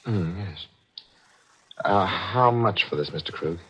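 Calculating killer columnist, deep voice, clipped menace, old-time radio thriller, dull vintage sound. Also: male voice, expressive, fairly bright tone, breathy edge, exaggerated voice. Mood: guarded. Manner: deliberate, clipped, menacing narration and dialogue. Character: cold, calculating newspaper columnist turned murderer. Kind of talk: 1940s radio thriller acting. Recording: muffled vintage radio broadcast.

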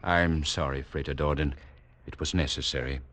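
A man's voice: very deep voice, fairly even intonation, a bit bright. A man acting in a 1940s radio drama as a courteous, coolly superior leader of a hidden people, speaking in formal, condescending phrases. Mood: soothing, apologetic. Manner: formal, polite, condescending, measured.

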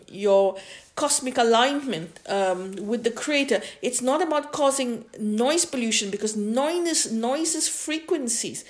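A woman giving an informal opinion monologue as a podcast host, emphatic and repeating phrases for stress. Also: on the high side. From India.

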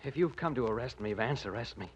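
A man's voice: mid-range voice, lively intonation, quick pace, heavy background noise, close to an everyday voice. An American man playing a nervous, desperate murder suspect in a 1940s radio drama, agitated, stammering and pleading. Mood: defensive, tense. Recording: muffled 1940s radio recording.

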